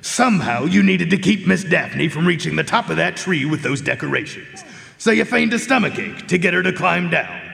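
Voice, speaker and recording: A man playing a friendly, clever detective's dog sidekick with a gravelly voice in a live radio drama. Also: on the high side, lively intonation, normal speed, bright, forceful tone, exaggerated voice. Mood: sly, explanatory. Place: performance hall stage. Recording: reverberant concert hall stage.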